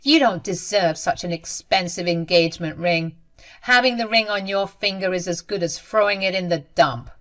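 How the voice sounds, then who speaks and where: A woman's voice: on the low side, moderate pace, a bit bright, natural voice. A woman voicing a cruel, snobbish middle-aged mother-in-law in a dramatized story, haughty and scornful. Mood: mocking.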